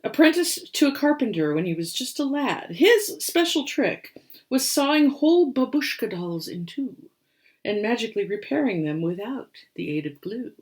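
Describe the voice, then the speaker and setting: A woman reading a rhyming picture book aloud as storyteller and host, with expressive, theatrical delivery. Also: on the high side, moderate pace.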